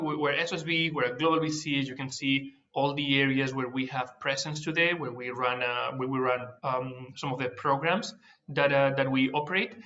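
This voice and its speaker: A man's voice: a little high, bright, forceful tone, muffled recording. A man from Spain with a Spanish accent, giving a presentation as an accelerator director, with frequent fillers and restarts. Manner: hesitant, many fillers and restarts. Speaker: managing director of a startup accelerator, guest speaker. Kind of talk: webinar presentation.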